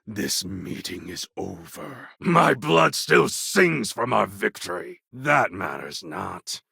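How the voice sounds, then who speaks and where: Casting: proud, brooding game warlord, very husky, slow and deliberate, speaking like a solemn orator. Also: male voice, lowish voice, very animated, pushed and bright, no echo, studio quality, heavily acted. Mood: grave, measured. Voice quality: husky, gravelly. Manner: grave, deliberate, formal oratorical phrasing. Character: proud, brooding male warlord clone, fierce rebel leader. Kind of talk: video game character acting.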